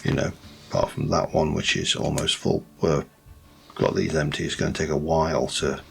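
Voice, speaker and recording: A man with a light Yorkshire accent, rambling through casual gameplay commentary with many pauses and restarts. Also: very deep voice, fairly even intonation, creaky. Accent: light Yorkshire English. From Yorkshire, England. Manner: rambling, thinks aloud with many ums and restarts. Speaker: let's play host.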